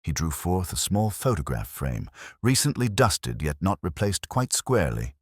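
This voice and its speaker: A man's voice: sits low, very animated, normal speed, husky, no room sound, clean studio sound. A man narrating a classic detective story in a scripted, literary audiobook style.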